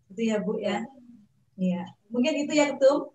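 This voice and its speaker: A woman's voice: a little high, expressive, normal speed, a little mellow. A woman in her forties moderating an online question-and-answer webinar. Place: online video meeting. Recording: roomy, distant mic, faint noise.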